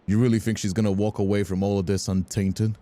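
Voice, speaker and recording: An actor playing a menacing, taunting crime boss villain with a gravelly, husky voice, in a dramatic audio role-play. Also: male voice, lowish voice, little pitch movement, fast talker, mellow, lightly acted. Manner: taunting, theatrical. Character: menacing, sneering crime boss villain, cowardly when cornered.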